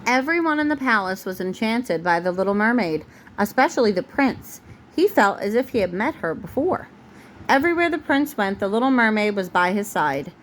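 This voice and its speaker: A woman's voice: medium pitch, very animated, normal speed, low-quality recording. A woman reading a storybook aloud as a mother does for her young child, recorded at home. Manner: breaks off to answer the child patiently. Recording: home recording with clear background noise.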